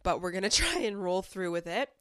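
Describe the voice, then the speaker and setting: A woman's voice: mid-range voice, very animated, a little fast, a bit bright, dry recording, clean studio sound. A young woman hosting a casual self-help podcast, talking in a chatty, conversational way with lots of filler words while a little sick, her voice slightly off. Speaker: podcast host.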